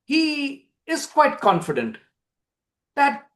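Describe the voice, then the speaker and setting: A man with an Indian accent narrating a play as a theatrical storyteller, with emphatic pauses. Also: very high-pitched, huge pitch swings, fairly bright tone. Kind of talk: dramatic storytelling of a play synopsis.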